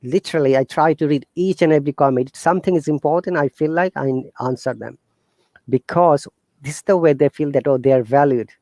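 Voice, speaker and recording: Bangladeshi-accented man, earnest and halting. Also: on the high side, dry recording. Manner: earnest, animated, halting with fillers and restarts. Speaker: guest teacher. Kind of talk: casual interview talk.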